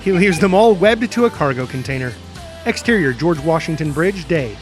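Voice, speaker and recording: A man narrates a screenplay, reading scene headings and action with drama and spoken sound effects. His voice is a little high for a man. Music is clearly heard behind him. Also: lively intonation, moderate pace. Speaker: narrator reading stage directions.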